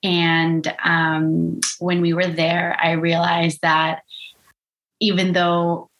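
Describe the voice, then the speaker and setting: A woman's voice: on the low side, monotone, extremely slow, fairly bright tone, poor audio. A young woman from Costa Rica telling a personal story in a reflective way, with many fillers and restarts.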